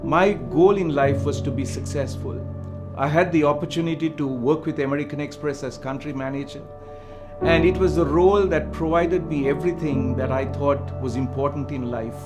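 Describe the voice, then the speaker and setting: A middle-aged man tells his story in an earnest, measured voice. His voice sits a touch high for a man. Loud plucked guitar music plays under the voice-over. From Sri Lanka. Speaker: missionary speaker. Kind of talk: scripted testimony narration over music.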